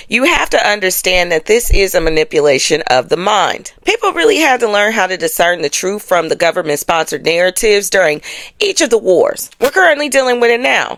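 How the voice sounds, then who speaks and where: A woman's voice: mid-range voice, fairly bright tone, no echo. An American woman giving casual astrology commentary as a show host, speaking in a colloquial, slangy, emphatic way and often checking in with listeners.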